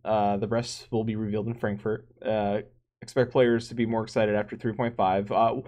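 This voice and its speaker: A man's voice: lowish voice, little pitch movement, normal speed, a little mellow. A man co-hosting a casual gaming podcast, who talks in stop-start bursts, restarts his sentences and laughs often. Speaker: podcast co-host.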